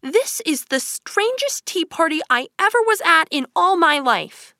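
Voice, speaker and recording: A woman's voice: very high-pitched, very animated, unhurried, pushed and bright, dry recording, clean studio sound, pitched above the performer's own voice, exaggerated voice. A woman voicing a curious, polite storybook girl with a prim, earnest manner who is easily put out. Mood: bemused. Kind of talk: storybook character reading.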